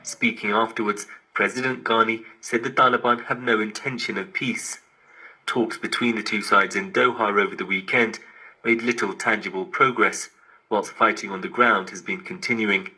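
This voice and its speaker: A man's voice: fairly low pitch, steady tone, normal speed, sharp tone, husky, low-quality recording. A man delivering a scripted news report as a correspondent, over digital shortwave radio.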